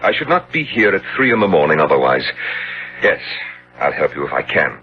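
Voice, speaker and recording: A dull, faintly hissy 1970s radio recording features a stern, reluctant gentleman. The voice is a little low but swings widely with incredulity. His manner is brusque, formal and indignant. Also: very animated, fairly quick, exaggerated voice. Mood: curt, reproachful. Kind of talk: 1970s radio drama acting.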